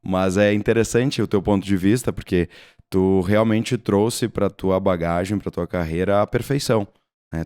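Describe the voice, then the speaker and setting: A man's voice: sits low, darkish tone, smooth. A man hosting a podcast, encouraging his guest and prompting him with questions. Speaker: podcast host. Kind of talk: casual interview chat.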